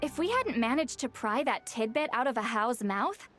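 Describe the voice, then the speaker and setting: A haughty young shaman, kinda high voice, wry and lecture-y, game music behind. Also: very animated, normal speed. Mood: explaining. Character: ancient-minded young shaman woman, proud and sharp-tongued. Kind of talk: video game dialogue. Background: game soundtrack music.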